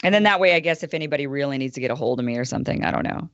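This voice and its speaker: A woman guest and show host talking in a relaxed, chatty way with many fillers and self-corrections; she has a fairly deep voice. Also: very fast, no room sound, lo-fi sound. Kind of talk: casual chat.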